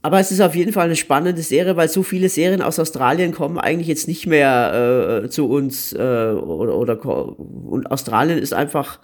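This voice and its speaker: A man's voice: fairly high pitch, a little fast, few pauses. A man co-hosting a podcast, giving a casual review and often hesitating and repeating words as he searches for phrasing. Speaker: podcast co-host recommending series.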